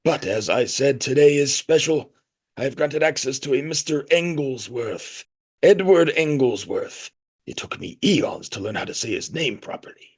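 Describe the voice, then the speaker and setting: An actor playing a pompous, nostalgic, middle-aged leader of a secretive group in an audio play, speaking in a grandiose, rambling way. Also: male voice, medium pitch, animated, normal speed, husky. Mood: self-important.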